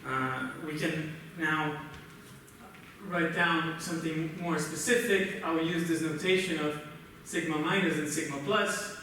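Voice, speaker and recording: A man with a Brazilian accent, a native Portuguese speaker, gives a technical conference lecture in a halting, explanatory way. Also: on the high side, fairly even intonation, sharp tone. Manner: halting, explanatory, often checks with "right?". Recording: roomy, noisy conference hall, distant mic.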